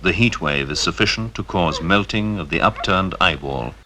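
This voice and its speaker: Clinical documentary narrator, fairly low, detached, heavy noise on old soundtrack. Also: male voice, lively intonation, normal speed. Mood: clinical detachment. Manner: clinical, matter-of-fact. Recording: very noisy old film soundtrack.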